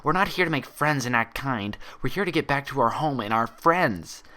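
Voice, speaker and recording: A man's voice: mid-range voice, lively intonation, normal speed, bright, forceful tone, pitched above the performer's own voice. A man voicing the sneering mirror twin of a young pony mare, arguing in a defensive, scornful way in a story.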